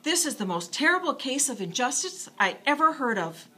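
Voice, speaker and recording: Lady reader doing little farm girl from a storybook, earnest n emotional, high and super animated. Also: moderate pace, breathy edge, exaggerated voice. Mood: indignant, pleading. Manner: indignant, earnest, hushed when awed. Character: upset, pleading, dreamy young farm girl.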